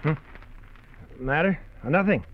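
Troubled husband in muffled radio drama. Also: male voice, a little high, lively intonation, a little slow. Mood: evasive, reassuring. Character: troubled husband fleeing his criminal past, guarded and desperate. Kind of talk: old-time radio drama acting. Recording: muffled old radio show recording.

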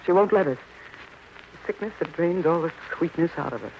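A woman's voice: on the low side, lively intonation, a little fast, gravelly. An actress with an American accent playing a cool, sardonic dentist's wife and mother in an arch, theatrical old-time radio crime drama style. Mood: bitter, overwrought. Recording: muffled noisy old radio recording.

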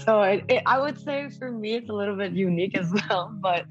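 A young woman from Malaysia, a startup founder, explaining her work in a measured, businesslike way as a podcast guest. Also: medium pitch, lo-fi sound, faint music behind. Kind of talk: casual podcast interview.

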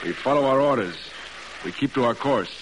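An actor playing a steady, commanding cargo ship captain in 1940s radio drama style. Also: male voice, mid-range voice, fairly quick, noisy background, lightly acted. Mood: calm, composed. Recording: vintage radio recording with loud music.

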